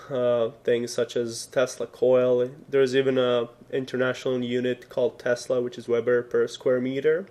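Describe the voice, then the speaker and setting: A man's voice: mid-range voice, darkish tone. Serbian guy explaining code, kinda rambly, keeps correcting himself. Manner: rambling, many fillers and self-corrections. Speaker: programming tutor. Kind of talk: casual screen-recorded coding tutorial.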